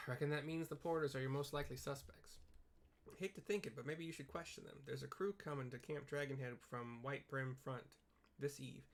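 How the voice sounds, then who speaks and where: A man's voice: mid-range voice, steady tone, moderate pace, lightly acted. A man voicing a blunt, loyal working-class quartermaster in a fantasy game, talking in a folksy rustic dialect. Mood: reluctant, wary.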